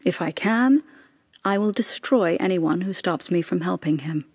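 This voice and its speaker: A woman's voice: fairly low pitch, expressive, measured pace, a little mellow, husky, heavily acted. Intense, devout mother in anguish. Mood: bitter, defiant. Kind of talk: radio drama acting.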